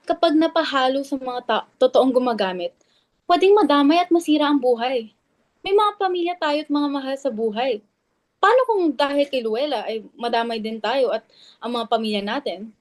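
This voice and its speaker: Woman acting a wary, argumentative high-voiced teacher. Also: a little mellow. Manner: emphatic, argues with pointed questions. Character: wary, argumentative female teacher, insistent club member Marife. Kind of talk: dramatic stage reading in Tagalog.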